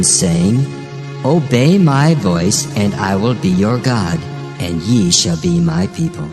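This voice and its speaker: A man playing God as a stern, solemn figure in a dramatized scripture reading, with measured, declamatory delivery in archaic English. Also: mid-range voice. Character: God as a stern, solemn male speaker rebuking his people. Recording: reading over prominent background music. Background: music.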